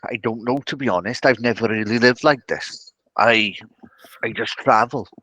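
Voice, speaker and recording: A man's voice: medium pitch, normal speed, a bit bright, dull audio. A man chatting casually and a little tentatively as a player in a tabletop game. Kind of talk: casual improvised chat.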